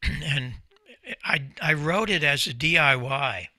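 A man's voice: fairly high pitch, takes it slowly, pushed and bright, dry recording. An older American man giving an informal talk as a storyteller, folksy and emphatic, close on a desk microphone. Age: 70s or older. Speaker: presenter, company founder. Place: small indoor ham radio room, seated at desk. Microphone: desk microphone close in front.

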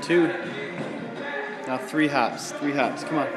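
A man's voice: fairly high pitch, slow. A man coaching in a gym, counting reps aloud and encouraging his client, recorded on a camera mic. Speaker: personal trainer. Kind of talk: coaching and commentary. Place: gym studio, indoors. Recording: camera audio in a large echoing gym with music.

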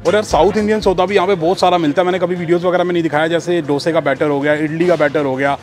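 A man in his thirties talks quickly and a little high as he walks the aisles of a big supermarket, chatting to a phone held at arm's length and reading out prices. Music plays clearly behind him. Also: fairly even intonation. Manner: chatty, reads out prices to camera. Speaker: vlogger. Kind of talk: casual shopping vlog. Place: large supermarket, indoors.

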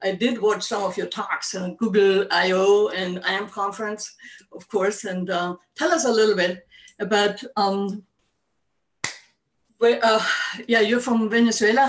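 An older woman hosts a relaxed video call from her book-lined home study. She talks in a hesitant way, full of ums, and laughs easily. Her voice sits a little back from the mic. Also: mid-range voice, normal speed, distant mic, rough recording. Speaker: host. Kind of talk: casual video call interview.

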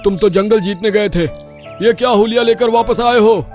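A man's voice: high-pitched, moderate pace, darkish tone, soft background music, lightly acted. A man voicing an arrogant male lion who wants to rule the jungle, boastful and domineering. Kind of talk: cartoon dubbing.

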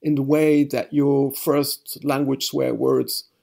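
Gravelly Belgian-born professor, mellow tone. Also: male voice, mid-range voice, flat delivery. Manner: measured, explanatory. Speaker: linguistics professor. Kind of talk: expert interview.

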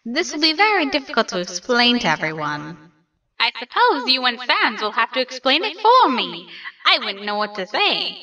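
A cheerful cartoon skeleton hero acted by a woman talks in a high, bright voice that bounces widely in pitch. He is grandiose yet earnest and naive, performing with theatrical pride. The microphone sounds a little distant. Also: big pitch swings, moderate pace. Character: cheerful, boastful, naive male skeleton brother. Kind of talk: comic dub character acting.

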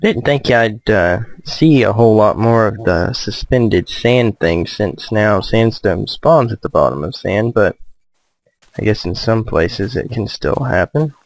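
Slow, creaky-voiced man playing a game. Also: expressive, rough recording. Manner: low-key, hesitant, musing to himself. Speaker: gamer, let's play host. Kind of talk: casual let's play commentary.

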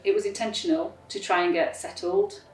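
A measured woman in her forties from South Yorkshire, England, with a slightly low voice. She is picked up from a distance in a roomy space. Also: fairly even intonation, darkish tone, a little hiss or hum. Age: 40s. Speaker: interviewee. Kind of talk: documentary interview. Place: indoor room by large windows. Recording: roomy, distant camera mic.

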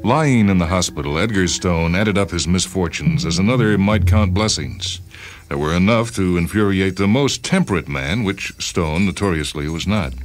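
Deep-voiced man narrating over music. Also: animated, normal speed. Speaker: radio host and story reader. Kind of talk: scripted dramatic story narration. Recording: music under the voice.

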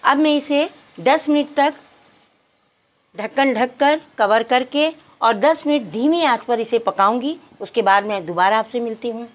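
Woman, slightly high voice, cooking host, polite step-by-step instructions. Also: unhurried. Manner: explains step by step, addressing viewers politely. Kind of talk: cooking tutorial narration.

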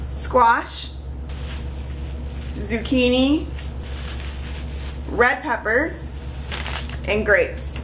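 A woman's voice: on the high side, drawn-out words, long pauses, background noise. A young woman in her twenties chatting casually in a home kitchen, holding up groceries one by one for a webcam vlog. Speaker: vlogger.

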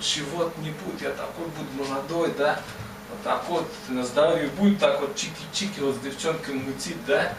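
A man's voice: mid-range voice, lightly acted. A man voicing old, bearded Confucius as a folksy sage, rambling and asking "right?" after every phrase. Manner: rambling, repeats "да?" and "вот" constantly. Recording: roomy, distant mic, ambient noise.

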